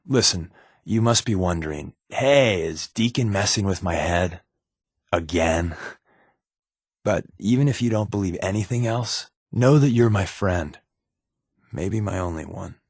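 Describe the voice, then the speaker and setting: A man's voice: sits low, measured pace, darkish tone, slightly rough, no echo, studio quality, exaggerated voice. A man with an American accent playing a wry, evasive spy companion in a video game, talking conversationally with hesitant asides. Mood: sincere.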